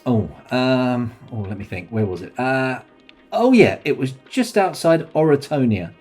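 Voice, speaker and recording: An actor as a husky, hesitant, amiable man. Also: medium pitch, very animated, darkish tone, faint music behind. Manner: halting, tentative. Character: hesitant, awkward, amiable male wine-drinking guest. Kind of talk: naturalistic radio play acting.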